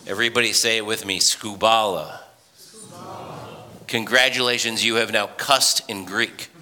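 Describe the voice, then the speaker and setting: Bright-voiced male pastor in a hall. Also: on the low side, normal speed. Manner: conversational, animated storytelling with many restarts. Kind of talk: sermon. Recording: reverberant hall.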